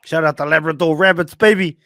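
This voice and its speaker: A man hosting a podcast, chatting casually in slang, joking and giving hyped shout-outs. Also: on the high side, steady tone, fairly quick, no echo, studio quality. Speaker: podcast host. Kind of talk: casual interview chat.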